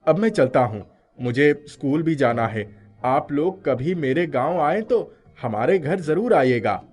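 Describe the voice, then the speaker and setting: Kind village schoolboy voiced by a man: slightly high voice, earnest, courteous, expressive, animated story. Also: measured pace, husky. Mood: polite, helpful. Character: kind, earnest schoolboy, humble and easily flustered. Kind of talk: animated moral story character dialogue.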